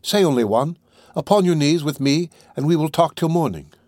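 A man's voice: lively intonation, normal speed, darkish tone, no room sound, studio quality, lightly acted. A man voicing a frightened, pious young boy in an audiobook, pleading earnestly and tenderly in a raised voice. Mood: tearful pleading. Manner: pleading, earnest, higher-pitched. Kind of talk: dramatic audiobook character reading.

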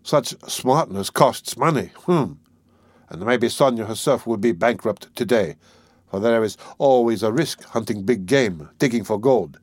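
A man's voice: fairly low pitch, expressive, measured pace, a little mellow, husky, no room sound, studio quality. A man doing a brooding, bitter young man. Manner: muttering to himself.

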